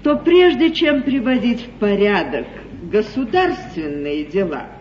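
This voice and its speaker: Haughty duchess, deliberate and sweeping in pitch, mocking stage-comedy style, muffled old theatre broadcast. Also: female voice, very animated, darkish tone, echoey room, slight background noise. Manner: deliberate, theatrical, ironically polite. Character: haughty, cunning duchess, coolly mocking and triumphant. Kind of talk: classical stage comedy acting.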